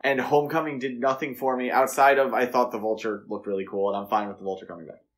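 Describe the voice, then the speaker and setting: A man's voice: mid-range voice, fairly even intonation, fast talker. A man co-hosting a podcast, talking casually and giving his opinions on films in a conversational way. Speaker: podcast co-host. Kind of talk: casual film discussion.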